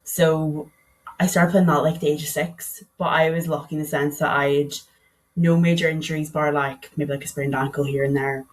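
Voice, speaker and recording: A woman's voice: deep voice, fairly even intonation, moderate pace, rough recording. A young woman with a Donegal Irish accent, a podcast guest telling her story in a chatty way, often saying "like". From Ireland. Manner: chatty, peppers speech with "like".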